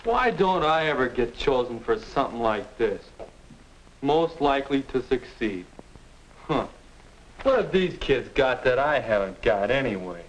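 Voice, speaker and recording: A young man with an American accent playing a sullen, defensive high school boy with no aim, grumbling in a 1950 instructional film. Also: very animated, a little slow. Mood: sullen, dismissive. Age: young adult. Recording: noisy, clicking 1950 film soundtrack.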